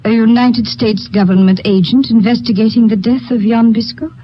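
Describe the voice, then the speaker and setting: A woman plays a young Polish secretary in an old radio drama, answering in a guarded, formal way. Her voice is fairly high. The recording is dull and lo-fi, like a vintage broadcast. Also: fairly even intonation, normal speed, soft background music, natural voice. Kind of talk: 1950s radio drama acting.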